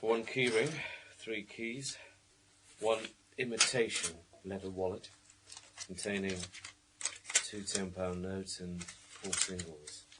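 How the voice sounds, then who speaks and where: A man's voice: on the low side, noisy background. A man playing a plodding police sergeant with dry, wry asides in a radio thriller. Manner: deliberate, itemising delivery with wry asides. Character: plodding, dryly sardonic male police sergeant.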